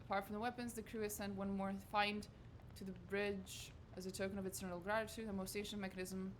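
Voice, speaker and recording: A fawning young male crew spokesman heaps ornate, formal praise on his captain, voiced by a woman reading game dialogue aloud. The pitch sits in an ordinary middle range. Steady noise hisses under the voice. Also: fast, natural voice. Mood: effusive.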